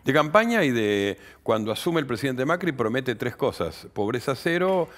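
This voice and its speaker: A man's voice: medium pitch, sing-song, moderate pace, a bit bright. A man in his sixties with an Argentine accent, explaining things analytically as an interview guest and often adding tag questions. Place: indoor studio set. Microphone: headset.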